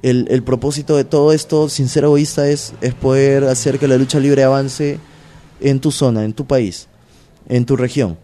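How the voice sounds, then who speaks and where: Peruvian-accented wrestler, mellow-toned, loose slangy chat, filler-heavy. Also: male voice, medium pitch, normal speed. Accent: Peruvian Spanish. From Peru. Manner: animated, slangy, many fillers. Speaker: pro wrestler guest. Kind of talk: casual interview chat.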